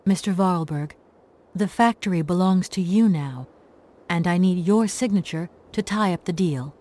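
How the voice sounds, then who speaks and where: A woman's voice: fairly low pitch, moderate pace, mellow, close to an everyday voice. An actress playing an earnest young professional woman, courteous and businesslike, in a video game.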